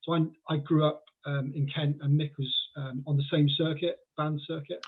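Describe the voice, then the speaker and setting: A man's voice: on the high side, level tone, normal speed, breathy edge. A man in his forties with a mixed Welsh and southeast English accent, talking as a podcast guest in a chatty, rambling way full of fillers and restarts. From Wales. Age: 40s. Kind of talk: casual podcast conversation. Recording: tinny phone-like call audio.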